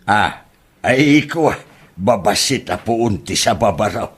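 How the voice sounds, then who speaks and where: A man's voice: lowish voice, lively intonation, slow, slightly rough, heavily acted. A man with an Ilocano accent playing a chatty older village uncle in a comedy drama. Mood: chatty, joking. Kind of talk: comedy radio-style drama acting.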